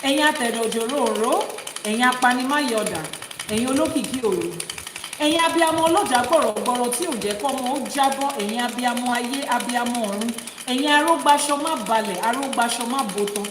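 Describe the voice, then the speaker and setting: A woman's voice: on the high side, fast talker. A woman, teaching viewers on a live stream as an Ifá priestess, coaxing them with repeated questions and breaking into rhythmic chanted invocations. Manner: rhythmic, rising chant in long litanies. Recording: echoey reverberant room with background noise.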